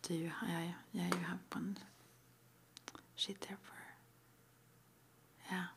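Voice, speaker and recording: A woman whispering in an ASMR roleplay as an interviewer, breathy and halting, with gentle questions. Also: lowish voice, flat delivery, background noise. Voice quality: breathy, mostly unvoiced whisper. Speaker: ASMR roleplay performer as witch school interviewer.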